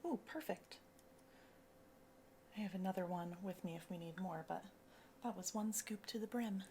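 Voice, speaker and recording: A soft-spoken woman doing an ASMR cosmetics consultation roleplay, breathy and often slipping into a whisper, explaining each step in a casual, reassuring way. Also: medium pitch, moderate pace, slight background noise.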